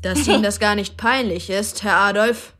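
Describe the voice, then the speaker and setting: A woman's voice: mid-range voice, normal speed, pushed and bright, close to an everyday voice. A woman in a German radio drama playing a young girl who pretends to be a prim, defiant soldier, sounding indignant.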